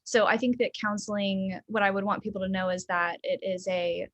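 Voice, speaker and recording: A young adult woman from the American South, a therapist talking casually and thoughtfully in an interview, with frequent ums and soft laughs. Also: medium pitch, fairly even intonation, brisk, no echo. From Southern United States.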